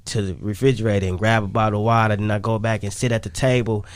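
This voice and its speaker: A man's voice: on the low side, little pitch movement, quick pace, dry recording. A man chatting casually as a musician guest on a radio interview, slangy and repeating himself. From Chicago, United States. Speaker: musician guest, rapper and songwriter. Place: radio studio.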